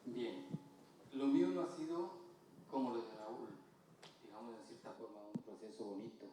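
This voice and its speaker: A man from Ecuador with an Ecuadorian Spanish accent, giving a slow, halting, earnest personal testimony in church. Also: mid-range voice, noisy background. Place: church hall. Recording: distant mic in echoey church hall.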